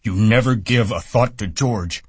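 A man's voice: fairly low pitch, a little slow, breathy edge, dry recording, voice pushed up. A man voicing a disapproving little old woman who nags and scolds sharply. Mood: scolding.

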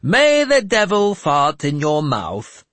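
A high, slow mad bishop. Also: male voice, sing-song, breathy edge, dry recording, full character voice. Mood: lofty. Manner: high, slow, sing-song preaching delivery. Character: mad, sly, lofty self-proclaimed male bishop.

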